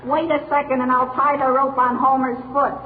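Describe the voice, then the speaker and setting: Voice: high-pitched, little pitch movement, fairly quick, natural voice. An actor playing a grumpy, long-suffering boy in old radio comedy, exasperated and complaining. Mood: grumpy, alarmed. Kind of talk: 1940s radio comedy acting. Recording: tinny, noisy old radio recording.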